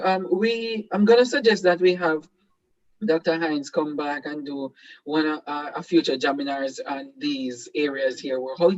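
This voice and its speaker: A man's voice: a little high, no room sound, highs cut off. Hesitant Jamaican man hosting a seminar. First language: Jamaican Patois. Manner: hesitant, many fillers and restarts. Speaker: host. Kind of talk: online seminar conversation.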